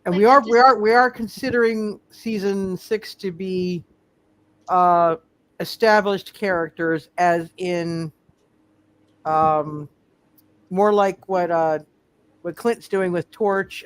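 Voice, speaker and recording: High-voiced man hosting, halting delivery. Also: no echo. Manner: halting, repetitive, circling back. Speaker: game master and host. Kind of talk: casual sign-off talk on live roleplay show.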